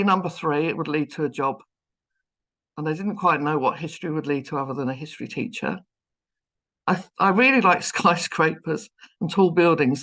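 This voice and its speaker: A woman's voice: low-pitched, fairly bright tone. Chatty London woman giving a talk. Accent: London English. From London, England. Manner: chatty, self-deprecating, with many restarts. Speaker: guest lecturer, professor. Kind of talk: online seminar talk. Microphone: video call.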